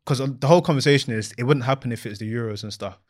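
A man co-hosting a casual football chat, animated and slangy, laughing often. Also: mid-range voice, fast. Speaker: podcast co-host.

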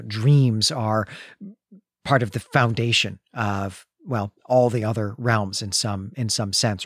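A man's voice: medium pitch, breathy edge, no room sound, clean studio sound. A man hosting a literary discussion podcast, talking through a story in a thoughtful, erudite, conversational way with hesitant phrasing. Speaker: podcast host.

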